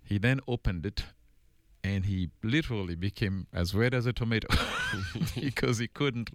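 A man from Haiti with a very low voice tells his life story as an interview guest. He speaks in a measured, thoughtful way, pausing often and filling gaps with "uh". The recording is close and dry. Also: no room sound. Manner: measured and reflective, frequent pauses and "uh" fillers. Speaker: engineering dean, guest.

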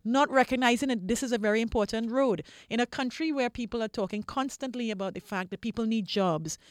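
A woman's voice: a little high, no echo, clean studio sound. A woman from Trinidad speaking as an interview guest, explaining things in an articulate, persuasive way with repeated phrases for stress. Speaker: interview guest, corporate diversity executive.

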